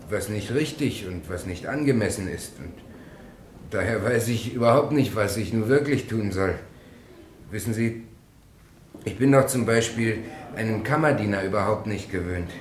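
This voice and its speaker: An actor playing a naive, honest small-town millionaire in a German radio play, hesitant and earnest. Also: male voice, lowish voice, reverberant, slight background noise, natural voice.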